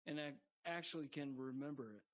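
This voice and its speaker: A man's voice: fairly high pitch, brisk. A man guesting on a podcast, joking crudely and rambling in casual chat. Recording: dull lo-fi sound.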